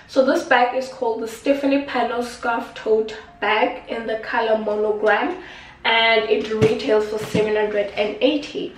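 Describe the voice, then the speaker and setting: A congested young woman talks chattily to camera, her voice bouncing around a small kitchen. She sits a little back from the camera mic, so the sound is roomy and distant. A faint hum of ambience sits underneath. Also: fairly high pitch. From South Africa. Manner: chatty, affectionate, repeats herself. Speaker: beauty vlogger. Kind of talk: casual unboxing talk to camera. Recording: echoey room, voice off-mic.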